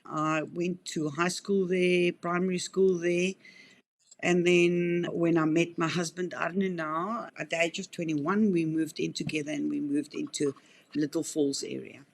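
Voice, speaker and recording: A woman's voice: lowish voice, flat delivery, unhurried, vocal fry. A South African woman in her fifties explains things in an earnest, talkative way. She often backs up and restarts her sentences. She sounds like a wildlife rehabilitator answering interview questions. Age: 50s.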